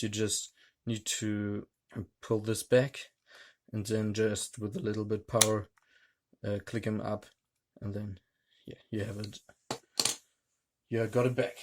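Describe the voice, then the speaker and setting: A man reviewing a gadget hands-on, talking in a hesitant, halting way with many fillers. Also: lowish voice, darkish tone. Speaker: tech reviewer. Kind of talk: hands-on product demonstration.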